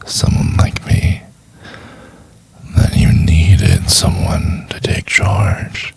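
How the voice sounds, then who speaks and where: An extremely low male voice, thick with fry and very breathy, a man acting an obsessive boyfriend in an ASMR roleplay. He croons in a hushed half-whisper, possessive and softly menacing, drawing his words out very slowly. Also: heavily acted. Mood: tender, possessive. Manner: slow, hushed, crooning insistence. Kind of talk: intimate ASMR roleplay monologue.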